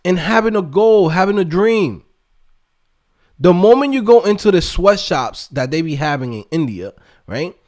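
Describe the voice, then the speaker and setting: A man's voice: sits high, expressive, moderate pace, a little mellow. A man from the Dominican Republic giving a casual motivational rant as an online host and coach, emphatic and streetwise, with lots of slang and tag questions. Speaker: YouTube host and developer coach.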